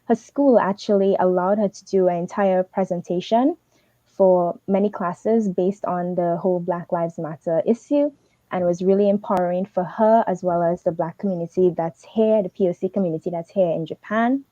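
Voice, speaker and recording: A young woman from Trinidad and Tobago, giving fast, earnest advice as a teaching assistant on a livestream. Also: fairly low pitch, mellow, dry recording, muffled recording. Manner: fast, earnest, advising directly.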